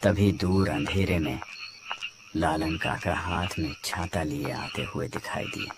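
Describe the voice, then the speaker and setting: A man's voice: sits low, unhurried. A man giving scripted narration for a horror story. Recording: clear background night ambience noise.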